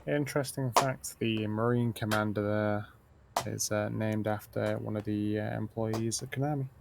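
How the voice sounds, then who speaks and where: A man's voice: lowish voice, steady tone, unhurried, gravelly. A man chatting casually as a guest co-commentator on a game stream. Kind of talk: casual chat over gameplay. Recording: noisy stream voice chat.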